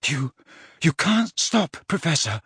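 A desperate young wizard in his teens acted by a male narrator for a fantasy audiobook. The voice is lifted higher and is breathy with strain. He sounds frightened yet keeps coaxing and reassuring urgently. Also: sing-song, measured pace, pushed and bright, no room sound, studio quality, heavily acted.

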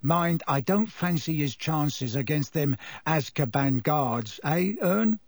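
A man voicing an excitable, gossipy young working-class bus conductor in an audiobook, chatty and colloquial, full of tag questions. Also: on the high side, slow, no echo. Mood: gossipy, awed. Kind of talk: audiobook character voice.

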